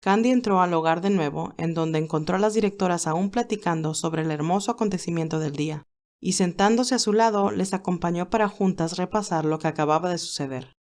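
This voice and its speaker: A woman reading scripted story narration in a measured, expressive way. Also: lowish voice.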